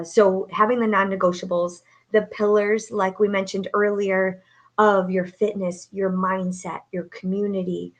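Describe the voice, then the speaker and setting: A woman hosting a live online talk as a coach, upbeat and preacherly, speaking from a home setup. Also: medium pitch. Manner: upbeat, preacherly, many fillers. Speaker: host and coach. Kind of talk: live-streamed motivational talk. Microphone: home setup, no studio.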